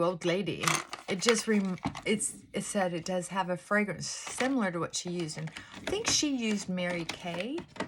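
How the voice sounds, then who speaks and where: Slow, reflective woman in a morning chat. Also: background noise. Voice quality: fairly high-pitched voice she works to pull down. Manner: reflective, confessional, soft-spoken with whispered asides. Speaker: vlogger. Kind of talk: casual get-ready-with-me chat.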